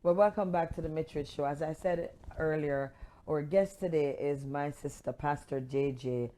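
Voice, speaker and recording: A woman's voice: low-pitched, normal speed, warm tone. A woman hosting a talk show, interviewing a guest and emphatically repeating words for emphasis.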